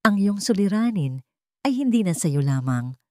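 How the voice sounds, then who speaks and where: A woman voicing a solemn, resolute and protective king in storybook dialogue, speaking gravely and with firm emphasis. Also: medium pitch, big pitch swings, moderate pace, dark tone, no room sound, studio quality, close to an everyday voice. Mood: solemn vow.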